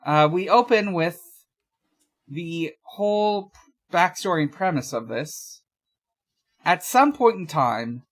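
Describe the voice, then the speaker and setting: A podcast host talking casually in a halting, hesitant way, often pausing and trailing off. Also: medium pitch, fairly bright tone. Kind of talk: casual podcast discussion and recap.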